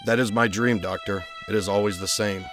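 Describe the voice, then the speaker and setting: Guy playing a brooding young gentleman, low solemn voice, formal, motion comic w music behind. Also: fairly even intonation, brisk, lightly acted. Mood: haunted, somber. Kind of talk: dramatic motion-comic acting and diary narration.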